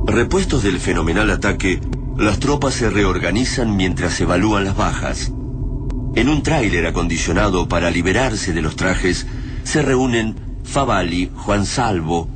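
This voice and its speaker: An Argentine man voicing the solemn, measured literary narrator of a science-fiction war radio drama. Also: low-pitched, noisy background.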